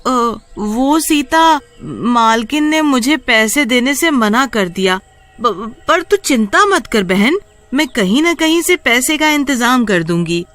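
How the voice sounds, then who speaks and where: A woman's voice: fairly high pitch, animated, slow pace, a bit bright, voice pushed up. A woman voicing a poor, anxious young elder sister in a story, earnest and pleading. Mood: sad, reassuring.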